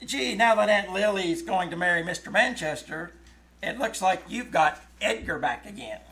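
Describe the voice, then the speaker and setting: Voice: mid-range voice, expressive, normal speed, fairly bright tone. An actor playing a cheeky, scheming kid brother in a 1940s-style radio comedy, folksy and mock-innocent. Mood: mock-innocent, teasing. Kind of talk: 1940s radio comedy acting before a live audience.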